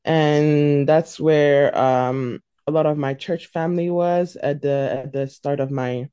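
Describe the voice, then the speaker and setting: A woman's voice: low voice, steady tone, takes it slowly, mellow, clear, resonant tone, dry recording, studio quality. A woman in her twenties from South Africa, thoughtfully answering interview questions as a guest. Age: 20s. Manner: thoughtful, searching for words. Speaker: stylist and guest.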